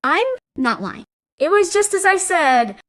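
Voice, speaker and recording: A woman's voice: very high-pitched, big pitch swings, a little slow, fairly bright tone, dry recording, studio quality, full character voice. A woman playing a stubborn, defensive schoolgirl accused of wrongdoing in a radio crime play. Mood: stubborn, protesting.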